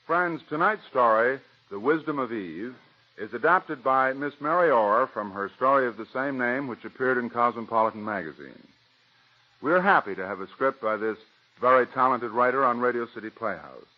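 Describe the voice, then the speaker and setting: A dull vintage radio recording of an American man with a mid-pitched voice. He reads a formal scripted introduction as a 1940s drama host. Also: animated, normal speed. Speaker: director and host.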